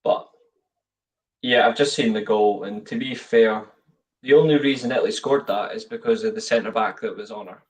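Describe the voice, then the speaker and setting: A man's voice: on the low side, moderate pace, far from the mic. A man co-hosting a football watchalong, bantering and swearing in casual chat. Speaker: watchalong co-host.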